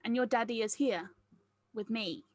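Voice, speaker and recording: A woman's voice: on the high side, moderate pace, no room sound, close to an everyday voice. A woman voicing a curious, innocent small child who speaks in an earnest, simple, direct way. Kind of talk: acted script table read.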